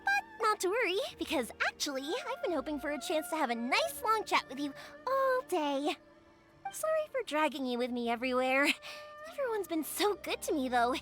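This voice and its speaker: A chatty young fireworks maker in a game, her voice high and swooping all over. She sounds bubbly and eager to please. Game music plays clearly behind her. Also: big pitch swings, normal speed, a bit bright. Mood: cheerful. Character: cheerful young woman fireworks maker, warm and talkative.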